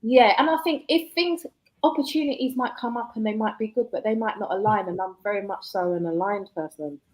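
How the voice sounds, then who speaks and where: Woman, forties, chatty guest, laughs a lot, mid pitch. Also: rough recording. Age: 40s. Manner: chatty, laughs often, quick asides. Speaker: guest author. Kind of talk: casual interview chat.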